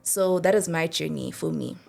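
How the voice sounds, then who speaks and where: A woman's voice: sits low, normal speed, gravelly, darkish tone, soft background music. A woman, reflecting casually on herself as an interview guest. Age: early 20s. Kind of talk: casual chat, personal reflection.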